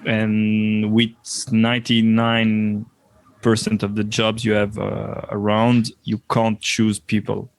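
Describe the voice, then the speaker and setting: A man's voice: on the low side, completely flat delivery, takes it slowly, gravelly, no room sound. A man from Belgium, speaking in a casual interview as a podcast guest. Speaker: podcast guest, sports journalist and teacher.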